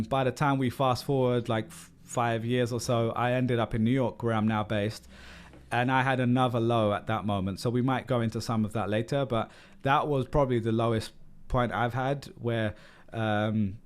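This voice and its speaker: A man's voice: mid-range voice, clean tone. Candid London man, chatty guest. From London, England. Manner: candid, rambling, frequent "like" and "um" fillers. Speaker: podcast guest. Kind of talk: casual interview conversation.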